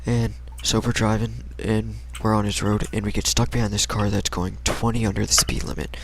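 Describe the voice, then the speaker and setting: A man's voice: on the low side, little pitch movement, a little fast. A young American man casually telling a personal story in a rambling, slangy, hesitant way. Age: young adult.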